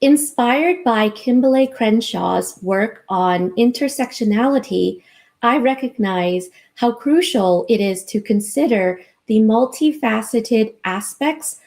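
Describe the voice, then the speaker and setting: A woman in her forties, a researcher and advocate, giving a measured, scripted personal talk. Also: on the high side, a little mellow. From United States. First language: Vietnamese.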